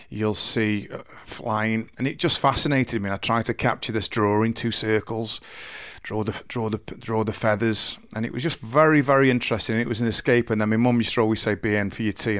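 A man in his forties with a deep voice, telling his life story slowly and earnestly in an interview, often restarting his sentences. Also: fairly bright tone, no echo, studio quality. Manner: slow, halting, earnest storytelling with many restarts. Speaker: interview guest. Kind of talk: candid personal interview.

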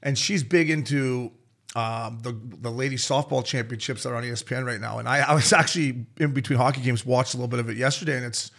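Fast-talking, foul-mouthed raspy man. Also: mid-range voice, fairly even intonation, fairly bright tone. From Canada. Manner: fast, profane storytelling with voiced quotes. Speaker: podcast co-host. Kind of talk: casual chat.

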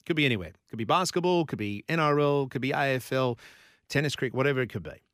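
A man's voice: mid-range voice, fast talker, fairly bright tone, no room sound, clean studio sound. A man from Brisbane with an Australian accent, hosting a talkback sports radio show and firing rhetorical questions at listeners.

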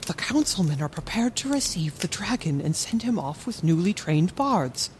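A woman playing an earnest, anxious, protective young medic in a fantasy audio drama, halting and confiding. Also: lowish voice, big pitch swings, darkish tone, a little hiss or hum. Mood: confiding, concerned. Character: earnest, anxious, protective councilwoman's child, a physician.

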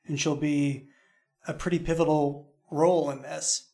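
A man talking casually as a show creator on a podcast, halting as he thinks aloud. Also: fairly high pitch, fairly even intonation, vocal fry, warm tone. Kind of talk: casual chat in a behind-the-scenes podcast.

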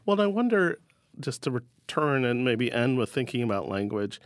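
A man hosts a relaxed interview about poems. His voice is mid-pitched and he asks curious, engaged questions. The sound is close and dry, with no room echo. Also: moderate pace. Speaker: host. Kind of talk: conversational interview.

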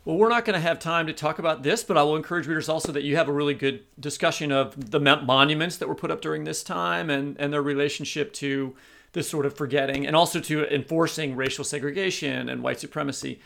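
A man's voice: on the high side, steady tone, fast talker, creaky, a bit bright. A man hosting a literary podcast, talking conversationally as he interviews a guest.

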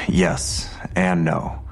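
An actor playing a middle-aged, beaten-down police detective, curt and insistent, in an audio drama. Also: male voice, very slow, in a whisper. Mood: weary, grave. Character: beaten-down, blunt male police detective, greying. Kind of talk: naturalistic audio drama acting.

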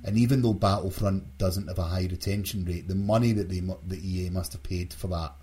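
A man with a husky voice hosting a casual gaming news discussion. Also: low-pitched, fairly even intonation, fairly quick, mellow, slight background noise. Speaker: podcast host.

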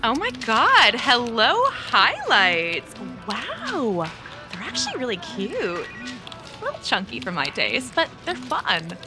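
An actress playing a breezy, self-absorbed young office gossip in an audio drama, gushing and slangy with drawn-out emphasis. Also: female voice, fairly high pitch, huge pitch swings, over music, exaggerated voice. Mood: gushing, teasing. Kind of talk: scripted audio drama acting.

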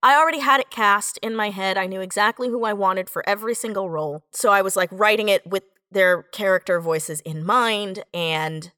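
A woman chatting casually as a writer on a podcast, telling stories in an animated, self-deprecating way. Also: medium pitch, moderate pace, few pauses, no echo, clean studio sound. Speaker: audio fiction writer, podcast guest. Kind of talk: casual conversational storytelling.

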